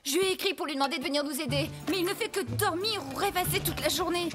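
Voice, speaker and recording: A woman playing a spirited, talkative young sister in a French cartoon dub, expressive and feisty. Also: very high-pitched, fast. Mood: exasperated. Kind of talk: French animated series dubbing. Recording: music behind the voice.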